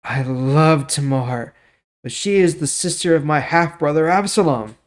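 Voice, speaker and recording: A man's voice: a little high, unhurried, pitched above the performer's own voice, natural voice. A man playing a lovesick, callous young prince who speaks bluntly and commandingly in a scripture reading.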